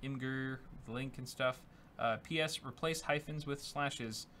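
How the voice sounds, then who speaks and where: A man's voice: medium pitch, steady tone, unhurried, bright, forceful tone. A young man co-hosting a tech show, casually chatting and reading viewer donations aloud with lots of fillers. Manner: upbeat, reads messages aloud with filler words. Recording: faint background noise.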